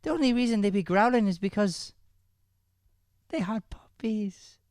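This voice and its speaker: Young Irish daughter, very high falsetto, eager and sweet, radio comedy, dry close sound. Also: male voice, animated, moderate pace, darkish tone, no room sound. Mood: earnest, insistent. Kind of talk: improvised old-time radio comedy.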